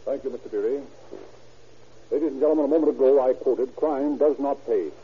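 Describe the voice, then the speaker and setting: Formal 1930s radio announcer, tinny. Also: male voice, mid-range voice, a little fast, husky. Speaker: narrator and announcer. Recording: tinny old radio recording with faint hiss.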